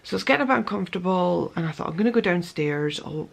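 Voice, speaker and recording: A young woman with a croaky voice, casually telling her story to the camera in a chatty way, with many ums and self-corrections. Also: on the low side, lively intonation, quick pace. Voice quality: gravelly. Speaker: vlogger.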